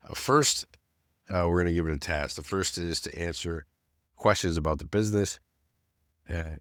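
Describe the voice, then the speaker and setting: Deep-voiced man, nasal from a cold. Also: no room sound, clean studio sound. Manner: casual, rambling, many restarts and "you know". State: getting over a cold. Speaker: self-storage business owner teaching automation. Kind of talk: screen-share tutorial narration.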